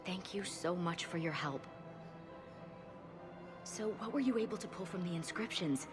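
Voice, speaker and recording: A woman's voice: lowish voice, normal speed, close to an everyday voice. A woman playing an earnest, eager research official in a video game, insistent and professional. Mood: pleased. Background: music.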